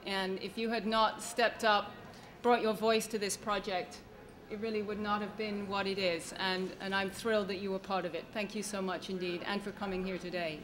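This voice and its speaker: A woman's voice: mid-range voice, little pitch movement, normal speed, noisy background. A woman speaking publicly at a launch event as an author, in an earnest, grateful and emphatic manner. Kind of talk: public speech at a book launch. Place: event at an institutional venue, live speech.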